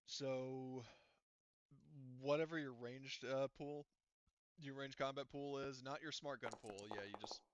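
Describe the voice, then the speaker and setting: A man acting as game master in casual tabletop play, haltingly thinking aloud as he explains rules. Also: mid-range voice, steady tone, dull audio, a little hiss or hum.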